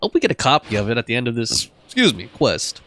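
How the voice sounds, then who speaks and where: A man's voice: medium pitch, wildly swooping pitch, quick pace, fairly bright tone, a little hiss or hum. A man reacting casually to a video game as he plays, giving off-the-cuff commentary. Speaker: gamer commentating on a playthrough.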